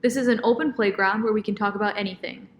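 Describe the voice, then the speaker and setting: A woman in her twenties reading a podcast intro script briskly. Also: mid-range voice, fairly even intonation, slight background noise. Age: 20s. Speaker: podcast co-host. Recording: echoey room with faint ambience.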